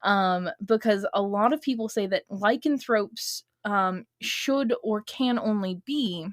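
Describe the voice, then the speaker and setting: Slow, halting woman in casual chat. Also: mid-range voice, dry recording, studio quality. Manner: halting, pausing mid-sentence to pick words. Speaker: podcast guest.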